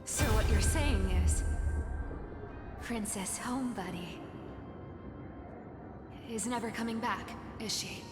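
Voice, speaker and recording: A woman voicing a sassy, sceptical young female demigod in an anime game, sardonic and sharp-tongued. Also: fairly high pitch, takes it slowly, pauses often. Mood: incredulous, biting. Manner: teasing, sardonic. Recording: echoing game audio under music.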